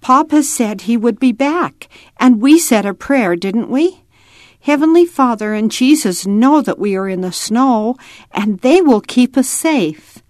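A woman's voice: fairly high pitch, animated, a little slow, darkish tone, no room sound, voice pushed up. A woman voicing a brave, devout young pioneer girl in a children's story, earnest and trusting. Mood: reassuring.

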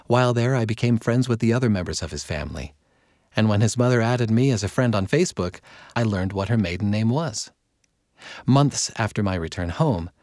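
A man narrating a magazine article from a script in a measured, clearly phrased read-aloud delivery. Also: lowish voice, a little mellow, no echo, clean studio sound.

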